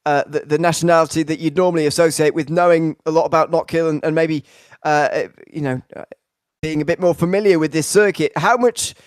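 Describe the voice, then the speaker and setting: A man commentating sim racing in a fairly high, smooth voice that carries clearly. The sound is close and dry, with no room echo. He fills his sentences with "uh" and restarts, and he sounds keen about the racing. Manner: rambling, filler-heavy, enthusiastic wrap-up. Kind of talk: broadcast commentary and driver interview.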